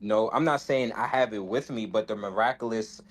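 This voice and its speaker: A man in an informal religious debate, speaking defensively and haltingly as he explains his position. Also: lowish voice. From West Africa, Sierra Leone and Senegal background. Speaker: debate participant.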